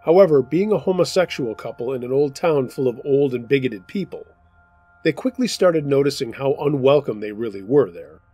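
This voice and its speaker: A man hosting a show where he reads submitted true scary stories aloud, in steady, measured first-person narration. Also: medium pitch, slightly rough, no room sound, faint music behind. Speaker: storyteller host.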